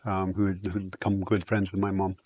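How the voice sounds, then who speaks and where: Low-voiced man speaking Afrikaans-first English. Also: a little fast, dry recording. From South Africa. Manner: relaxed, reflective, with frequent ums and restarts. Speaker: podcast guest. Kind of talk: casual interview storytelling.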